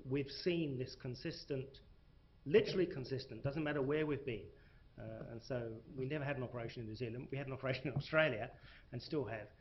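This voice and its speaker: A man's voice: mid-range voice, a little hiss or hum. A man from South Africa speaking on a panel discussion, emphatic and often restarting his sentences. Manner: emphatic, restarts, hesitant fillers. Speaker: panel speaker, corporate reporting. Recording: muffled lo-fi recording.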